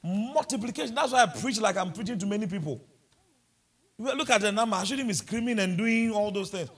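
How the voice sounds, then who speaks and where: A man's voice: high-pitched, brisk, a bit bright, slightly rough. A man from Ghana with a Ghanaian accent, preaching a sermon and mixing in humorous asides. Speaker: pastor.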